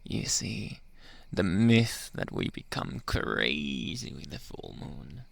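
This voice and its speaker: Slow, gravelly man playing a flirty werewolf. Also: low voice, sing-song, no echo, exaggerated voice. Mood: boastful, playful. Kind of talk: ASMR roleplay monologue.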